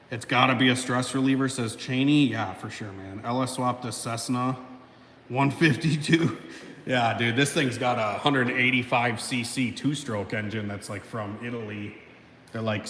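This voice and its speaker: A man's voice: on the low side, a bit bright. A man in his thirties hosting a casual livestream from his home garage. He reads out chat comments, rambles, swears often and laughs between sentences. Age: 30s. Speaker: livestream host reading chat. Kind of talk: casual live-stream chat. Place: home garage, alone by a car lift. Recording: echoey garage with faint background noise.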